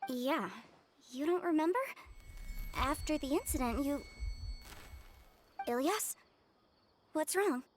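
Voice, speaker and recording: An actress playing a caring, plain-spoken young sister in a video game, fussing gently and familiarly. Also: female voice, sits high, animated, slow pace, long pauses, slight background noise. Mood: caring, worried.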